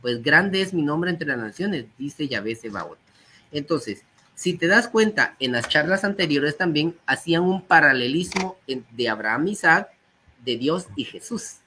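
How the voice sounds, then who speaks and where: A man with a somewhat high voice, slightly hoarse from a cold, gives a teaching talk. He reads passages aloud and then explains what they mean. A faint noise sits behind him. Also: lively intonation, measured pace, pushed and bright. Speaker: guest speaker. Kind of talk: teaching talk on early church texts.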